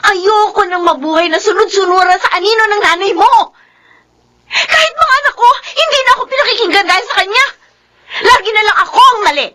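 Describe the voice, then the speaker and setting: A woman's voice: very high-pitched, lively intonation, brisk, bright, forceful tone, heavily acted. A woman playing a resentful, long-suffering middle-aged wife and mother with plaintive emotional delivery in a Filipino radio drama. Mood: anguished.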